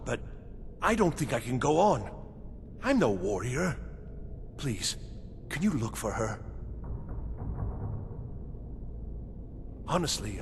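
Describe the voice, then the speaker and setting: A man playing a frightened, desperate farmer in a video game, pleading urgently as he searches for his wife. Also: medium pitch. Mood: despairing, hopeful. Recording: long hall reverb, faint background noise.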